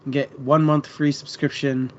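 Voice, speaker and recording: A man's voice: mid-range voice, level tone, moderate pace, lo-fi sound. A man co-hosting a book stream in a laid-back, slangy, chatty way. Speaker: co-host of a book discussion stream. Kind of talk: casual chat.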